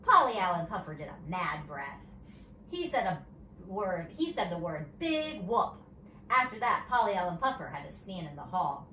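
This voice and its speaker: A woman's voice: on the low side, very animated, normal speed, pushed and bright. A woman teacher reading a children's story aloud expressively, with chatty asides, recorded at a distance in an echoey room. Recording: roomy echo, distant mic, faint noise.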